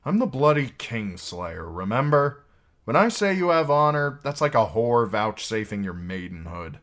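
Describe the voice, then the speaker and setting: A man voices a maimed knight commander from a fantasy novel. He is blunt and bitter, with a fairly low voice and a dry, sardonic air of command. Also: expressive, moderate pace, natural voice. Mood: wry, cynical.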